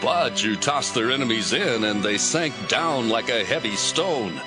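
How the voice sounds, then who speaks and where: An actor playing an impassioned Levite praying in a solemn, oratorical dramatized scripture reading. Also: male voice, medium pitch, lively intonation, unhurried, music in the background, close to an everyday voice. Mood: solemn awe. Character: impassioned male Levite recounting God's deeds in prayer.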